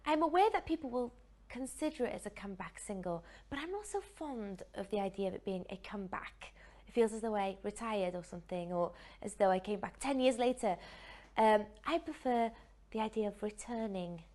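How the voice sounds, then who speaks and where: Articulate North Welsh singer. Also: female voice, medium pitch, expressive, a little fast, darkish tone. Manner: composed, articulate. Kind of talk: interview answers.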